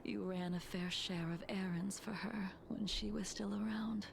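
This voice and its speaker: A woman voicing a calm, aloof, long-lived warrior in mourning for a video game, breathy and measured. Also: mid-range voice, background noise. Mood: grave, reserved. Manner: measured, solemn, formal.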